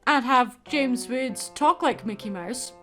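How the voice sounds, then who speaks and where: A woman's voice: sits high, expressive, slow pace, fairly bright tone. A woman giving scripted video-essay commentary with wry, self-deprecating asides. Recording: music under the voice-over. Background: music.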